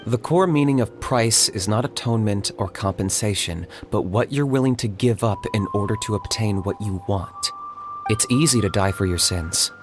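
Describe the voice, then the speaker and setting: An actor voicing a calm, reserved young warrior in a video game, speaking in a measured, dry way. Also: male voice, lowish voice, natural voice. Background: game music.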